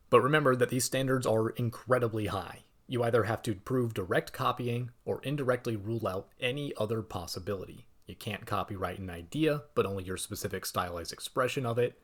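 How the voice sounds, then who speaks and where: A young man reading a scripted video essay in a measured, explanatory way with dry asides. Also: fairly low pitch.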